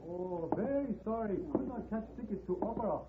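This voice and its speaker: A man's voice: high-pitched, lively intonation, brisk. A man playing an eager, apologetic hotel bellboy in an old radio Western, speaking broken English. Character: excitable, polite Chinese hotel bellboy in a Western. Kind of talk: 1950s radio drama acting. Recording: tinny, noisy old radio recording.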